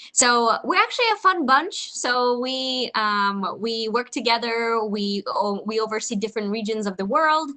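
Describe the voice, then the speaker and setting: A woman's voice: on the high side, bright, forceful tone. A young woman from Europe, an exchange coordinator giving an upbeat online info session with many ums and uhs. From Eastern Europe. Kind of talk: online information session presentation.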